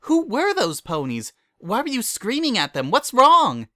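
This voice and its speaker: A caring young mare, played by a man, protective and motherly toward her friends. The storybook voice sits high and bright, fussing and soothing. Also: huge pitch swings, unhurried, dry recording, heavily acted. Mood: alarmed.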